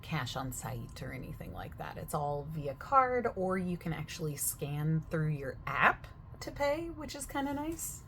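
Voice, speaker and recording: A young woman speaks softly and gently, playing gym staff in an ASMR sales roleplay. Her voice sits on the lower side, and she over-explains everything in a chatty, unhurried way full of little fillers. A faint hiss hangs behind her.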